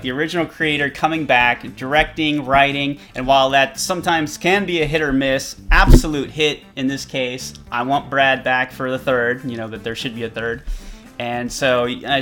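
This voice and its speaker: Bright, upbeat man in his thirties. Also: mid-range voice. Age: 30s. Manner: upbeat, enthusiastic. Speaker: movie review co-host. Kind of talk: casual chat.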